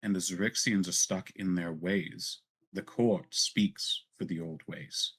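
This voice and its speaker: A man voicing a frail elven noblewoman in a tabletop game, earnest and solemn, tender yet quietly indignant. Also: sits low, unhurried, slightly rough, heavily acted. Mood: earnest, reassuring. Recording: music behind the voice.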